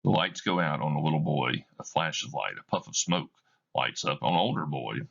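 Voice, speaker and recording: A man reading a play's stage directions aloud for a class in a measured, plain delivery. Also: extremely low pitch, animated, creaky, fairly bright tone, slightly rough, lo-fi sound. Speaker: teacher reading a play aloud.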